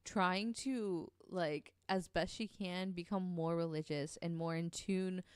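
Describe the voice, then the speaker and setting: A woman's voice: lowish voice, fairly even intonation, takes it slowly, smooth, no echo, studio quality. A woman co-hosting a podcast, telling a story casually with many ums and the odd swear word.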